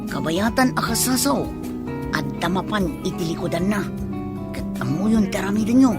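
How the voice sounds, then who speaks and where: A woman's voice: mid-range voice, lively intonation, moderate pace, loud music behind the voice, lightly acted. A woman playing an eager, obedient gang henchman who schemes in a comedy radio drama. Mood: scheming.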